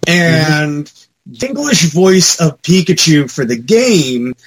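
A man co-hosting a podcast in casual chat about pop culture, talking in an animated, rambling, mildly profane fan style. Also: fairly high pitch, slow pace. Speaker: podcast co-host.

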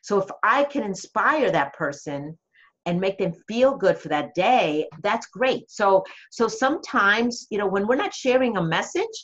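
A woman's voice: mid-range voice, sing-song, unhurried, highs cut off. A woman guest and coach from Connecticut, speaking earnestly and motivationally in a casual interview.

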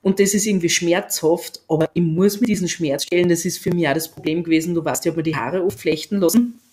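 A woman, co-hosting a casual conversation, reflective and often pausing with "ähm" as she searches for words. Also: fairly low pitch, darkish tone. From Austria. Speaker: podcast co-host.

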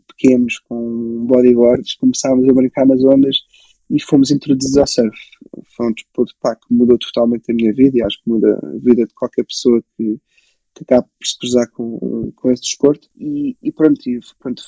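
A man's voice: mid-range voice, creaky, warm tone, breathy edge, dry recording, low-quality recording. A man in his twenties with a European Portuguese accent, telling his own story casually as an interview guest. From born in Belgium, grew up in Portugal from age six. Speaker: interview guest, photographer and filmmaker.

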